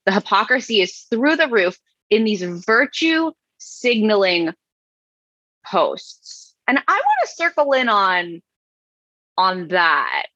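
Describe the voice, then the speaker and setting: Woman podcast co-host, bright forceful tone, wry dry delivery, slightly dull recording. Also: mid-range voice, huge pitch swings, takes it slowly, husky, no room sound. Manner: wry, dry reading with asides. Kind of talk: casual podcast chat, reading comments aloud.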